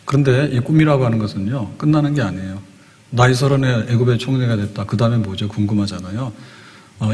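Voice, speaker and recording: Man in his sixties, pastor, sermon delivery, explanatory storytelling, rhetorical questions, medium pitch, long church-hall reverb. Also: a little mellow. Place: church hall, indoors at a pulpit. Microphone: podium microphone.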